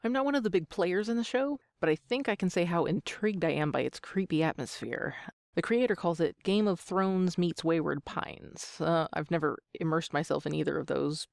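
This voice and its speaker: A woman's voice: lowish voice, vocal fry, a little mellow, dry recording, studio quality. A woman in her thirties answering interview questions as an amateur voice actor, with a joking, self-deprecating manner. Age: 30s.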